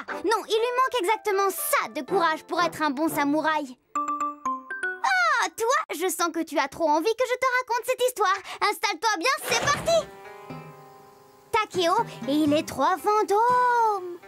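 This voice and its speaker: An actor playing a chatty, playful young storyteller in a cartoon, teasing and lively, talking straight to the listener. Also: extremely high pitch, unhurried, full character voice. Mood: playful, eager. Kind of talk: animated storytelling to children. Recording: music behind the voice.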